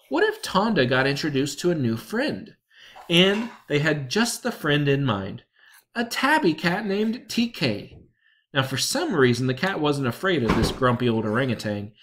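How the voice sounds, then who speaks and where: Picture-book narrator, mid-pitched voice with lots of melodic variation, expressive storytelling delivery. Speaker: story reader. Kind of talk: children's picture book read aloud.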